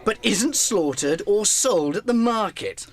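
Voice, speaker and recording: An actor playing a cheerful, good-natured gentleman hosting a party, jovial and theatrical, in a radio play. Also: high-pitched, expressive, unhurried. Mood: jovial, playful.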